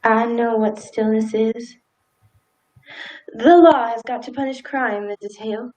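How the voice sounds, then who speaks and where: A woman's voice: a little high, steady tone, measured pace, a little mellow, lightly acted. A woman acting a timid, dutiful sheriff's wife in a radio play, hesitant and restrained, with grief beneath it. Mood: haunted, dutiful. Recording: slightly dull, muffled sound.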